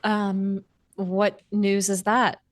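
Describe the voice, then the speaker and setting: A woman's voice: mid-range voice, flat delivery, drawn-out words, dry recording, clean studio sound. A woman playing a tabletop roleplaying game, improvising casual in-character talk in a hesitant, tentative way.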